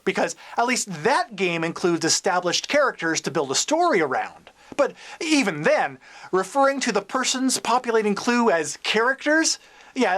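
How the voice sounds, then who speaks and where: A man's voice: sits high, fairly bright tone. A man hosting a scripted, humorous commentary with wry, theatrical emphasis. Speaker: host of a board game commentary show.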